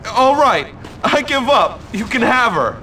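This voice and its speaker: An actor playing a hot-headed, threatening high-school gang rival in a video game, aggressive and emotional. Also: male voice, big pitch swings, measured pace, pushed and bright, a little hiss or hum, full character voice. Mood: defeated, bewildered. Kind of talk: video game cutscene acting.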